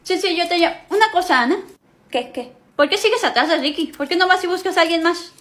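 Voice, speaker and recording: A young woman acting out a comedy sketch, switching quickly between characters in a small room at home. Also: extremely high pitch, a bit bright. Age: young adult.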